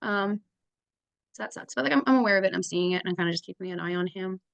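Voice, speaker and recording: Fast-talking woman with a gravelly voice. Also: medium pitch, no echo. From native English speaker. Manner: rambling, many "like" fillers. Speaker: kindergarten teacher. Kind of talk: casual mentor meeting chat.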